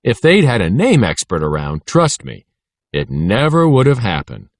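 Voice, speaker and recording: A man's voice: mid-range voice, wildly swooping pitch, normal speed, gravelly, dry recording, clean studio sound, heavily acted. A man voicing a wry schoolboy who tells his own story in a casual way. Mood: musing.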